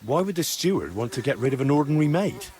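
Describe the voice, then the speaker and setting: A man's voice: medium pitch, lively intonation, fast, darkish tone, lightly acted. A man playing an earnest young investigator in a medieval video game drama, direct and questioning, reassuring but pressing. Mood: inquisitive. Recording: clear background ambient noise.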